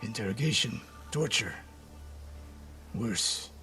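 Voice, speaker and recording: An actor playing a stern, proud older samurai archery master in a video game, speaking in a terse, commanding, dry way. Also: male voice, on the low side, animated, slow, sharp tone, faint music behind, full character voice. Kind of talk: dramatic cinematic game dialogue.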